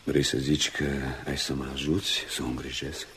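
An actor playing a weary, resolute doctor in a radio drama, speaking gravely and firmly. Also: male voice, level tone, a bit bright. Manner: grave, low-key, firm. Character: weary, resolute male doctor guarding his gravely ill patient. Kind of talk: Romanian radio drama acting. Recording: noisy old radio drama recording.

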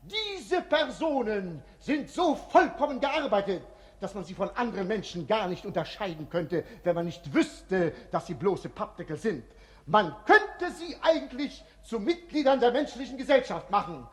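A mischievous jester in a 1950s radio play. His high, swooping voice delivers mock-grand fairground patter, ringing through a long hall reverb. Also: sing-song, normal speed. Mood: mocking, showy. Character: mischievous, mocking jester announcing like a showman.

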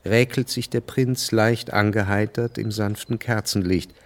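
A man narrating a scripted radio drama in a measured, formal manner. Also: lowish voice, steady tone.

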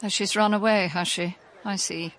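A woman's voice: mid-range voice, fairly even intonation, moderate pace, fairly bright tone, a little hiss or hum, lightly acted. A woman playing a genteel, guarded elderly country lady in a radio drama, speaking with emphatic propriety. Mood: guarded, upset. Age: older.

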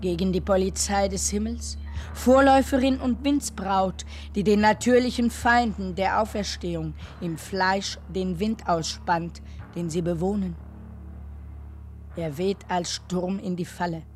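An actress plays a female narrator in a literary radio drama, with a medium pitch. The delivery is slow, measured and declamatory. Dark, surreal descriptions are set out as questions and pondered phrase by phrase. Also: faint music behind, close to an everyday voice. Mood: solemn, urgent.

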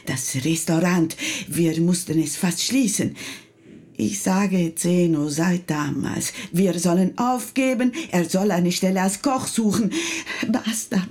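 Worried italian housekeeper lady, italian accent, emotional and halting, radio play style. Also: medium pitch, creaky, darkish tone, slightly rough, exaggerated voice. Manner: hesitant, emotional, sprinkling in Italian exclamations. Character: troubled, earnest Sicilian-born housekeeper, wife and mother. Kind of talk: German radio drama dialogue.

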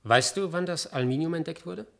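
An actor playing a learned, independent-minded knowledge broker in a science-fiction radio play, speaking in a measured, articulate, dryly ironic way. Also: male voice, a little high, gravelly. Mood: matter-of-fact. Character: Hermes, learned ex-banker turned independent knowledge broker, wryly curious.

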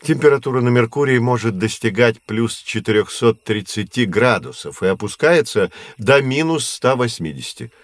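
An actor playing a patient, knowledgeable astronomy professor who explains things in a measured, didactic way in an educational audio drama. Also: male voice, medium pitch, expressive, no echo, clean studio sound, lightly acted. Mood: instructive. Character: male astronomy professor, patient, knowledgeable teacher of curious children.

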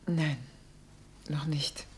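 A woman's voice: low-pitched, little pitch movement, drawn-out words, slight background noise. An actress playing a worried, reserved married mother in a German radio play, speaking in a restrained, formal way. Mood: subdued, weary. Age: adult. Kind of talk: naturalistic German radio drama acting.

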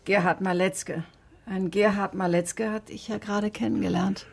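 A woman's voice: medium pitch, fairly even intonation, vocal fry, husky. An actress playing a composed, probing woman in a naturalistic radio drama, speaking politely and persistently. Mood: matter-of-fact. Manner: polite, measured, persistent questioning. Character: composed, probing woman questioning an old man.

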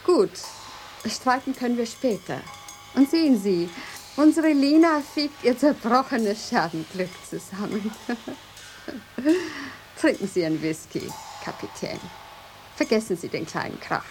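Haughty, high-voiced mistress of a lighthouse. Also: female voice, big pitch swings, measured pace, pauses often, darkish tone, soft background music. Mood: scornful, mocking. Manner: imperious, formal, mocking. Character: haughty, jealous lighthouse mistress with sharp, mocking tongue. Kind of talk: German radio drama acting.

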